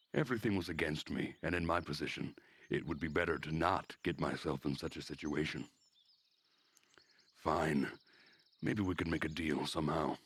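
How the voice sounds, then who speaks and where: A man's voice: rumbling low, fairly bright tone, breathy edge, dry recording. A man streaming a visual novel, reading it aloud as narrator with wry, casual asides.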